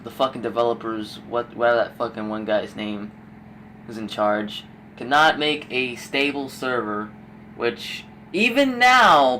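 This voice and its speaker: A young man with a slightly hoarse, worn voice, rambling through casual gameplay tips with lots of fillers and the odd swear word. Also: mid-range voice, fairly bright tone, slight background noise. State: losing his voice, a bit hoarse. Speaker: gaming YouTuber giving tips. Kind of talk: casual gameplay commentary voice-over.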